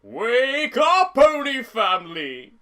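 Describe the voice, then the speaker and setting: A man's voice: very high-pitched, wildly swooping pitch, slow pace, bright, forceful tone, faint music behind, exaggerated voice. A man voicing a mischievous, boisterous, teasing creature of chaos in a fan-made audio drama. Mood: gleefully loud. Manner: theatrical, teasing.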